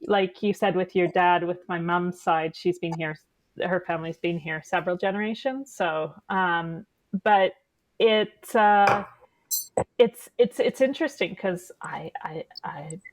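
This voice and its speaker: A woman's voice: fairly low pitch, a little slow, no echo. Canadian woman host, forties, dry headset sound, chatty and meandering. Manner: rambling, many restarts, laughs at herself. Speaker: podcast host. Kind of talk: casual video-call chat. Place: home kitchen.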